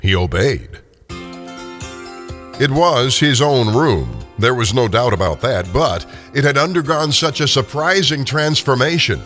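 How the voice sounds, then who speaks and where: A man's voice: mid-range voice, very animated, unhurried, fairly bright tone, faint music behind. A man narrating a classic story dramatically as scripted radio theater. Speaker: narrator and performer.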